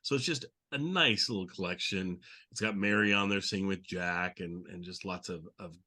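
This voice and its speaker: A man's voice: low-pitched, moderate pace, pushed and bright. A man hosting a podcast in a casual, conversational style. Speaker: podcast host.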